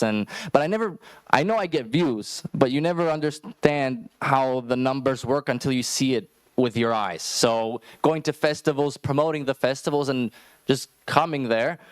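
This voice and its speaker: A man's voice: medium pitch, clean tone, no echo. A young man speaking casually as an influencer on a discussion panel. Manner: casual, relaxed, loose phrasing.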